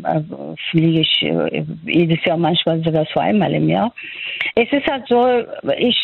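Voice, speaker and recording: A woman's voice: mid-range voice, creaky, no room sound. A woman in her sixties from Afghanistan, a doctor giving a radio interview and speaking hesitantly with many fillers and restarts. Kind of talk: radio interview over the phone. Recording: tinny phone line.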